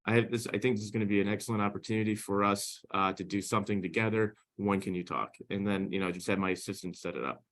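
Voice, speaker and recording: Male, interview guest, rapid pace, lowish pitch, conversational, emphatic founder. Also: fairly bright tone.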